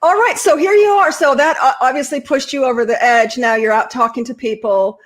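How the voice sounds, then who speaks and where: A middle-aged woman with a slightly high voice hosts a casual interview show. She encourages her guest, laughs easily and talks in a loose, chatty way. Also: brisk. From Southern California. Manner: warm, encouraging, laughs often. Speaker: host.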